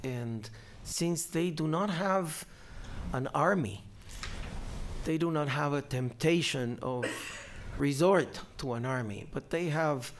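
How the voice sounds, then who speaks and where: Slow, deliberate older man on a panel. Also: on the high side, a little hiss or hum. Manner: measured, deliberate, with frequent restarts. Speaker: panelist, former vice president. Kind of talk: lecture panel answers.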